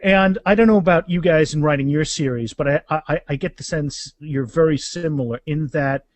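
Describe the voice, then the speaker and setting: A man's voice: a little high, normal speed, no echo. A man of about fifty chatting casually and enthusiastically as a guest author in a podcast interview, rambling as he goes.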